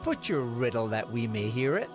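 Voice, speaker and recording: A man's voice: medium pitch, very animated, normal speed, music in the background, close to an everyday voice. An actor playing a challenging man of an ancient town in a dramatized audio Bible.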